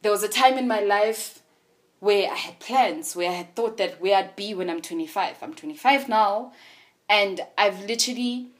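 A young woman talking candidly about her own life. Her voice sits at a middle pitch, and she tells her story in a reflective, conversational way. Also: normal speed. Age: 20s. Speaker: podcast host. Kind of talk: casual conversation.

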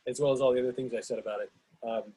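A man hosting a discussion on a video call, excitable and lively, often restarting his sentences. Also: mid-range voice, rapid-fire, husky. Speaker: host of a play reading discussion. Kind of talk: casual group discussion.

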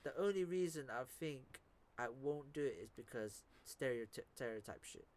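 A man's voice: mid-range voice, expressive, normal speed. Young guy from the philippines chatting loose, lots of slang and swearing. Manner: slangy, swears a lot, repeats words. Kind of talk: casual chat.

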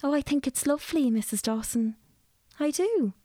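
A woman's voice: high-pitched, expressive, normal speed, warm tone, no echo, voice pushed up. A woman voicing a nervous, timid young woman about to marry, speaking meekly and eagerly.

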